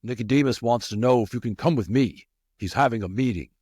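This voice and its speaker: A man's voice: medium pitch, a little fast, darkish tone, breathy edge, no echo, clean studio sound, close to an everyday voice. A man voicing a direct, businesslike rat messenger in a storybook reading. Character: plainspoken male rat messenger on guard duty.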